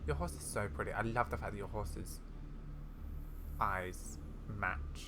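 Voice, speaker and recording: A woman giving excitable, chatty playthrough commentary on a video game, with gushing asides. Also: extremely low pitch, measured pace. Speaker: gaming video host. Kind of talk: excitable playthrough commentary mixed with reading game dialogue aloud. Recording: home recording with keyboard clicks and noise.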